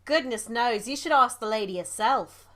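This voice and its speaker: A woman's voice: a little high, normal speed, pushed and bright. A woman playing a gossipy, deferential housemaid in a radio drama, chatty and hesitant. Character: deferential, gossipy working-class English housemaid.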